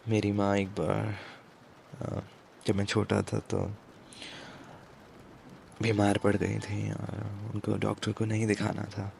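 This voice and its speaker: A man playing a commanding, protective mafia boss in an ASMR romance roleplay, speaking in a hushed, deliberate way with English words mixed in. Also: monotone, slight background noise, full character voice. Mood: soft, reflective. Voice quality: low, gravelly, breathy at times. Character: commanding yet protective male mafia boss, tender with his captive.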